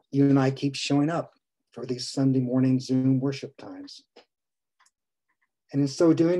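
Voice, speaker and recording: Older man preaching softly, husky and dark. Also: medium pitch, rough recording. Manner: slow, gentle preaching with soft trailing phrase ends. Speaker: priest. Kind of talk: sermon. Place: home study, indoors. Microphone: video call webcam.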